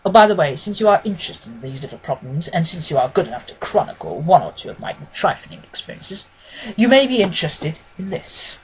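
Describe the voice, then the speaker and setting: A man voicing a self-assured male detective in an audiobook, keenly observant and gently mocking, with an emphatic, didactic manner. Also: sits high, very animated, a little fast, rough recording. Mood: emphatic, instructive. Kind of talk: dramatised audiobook reading.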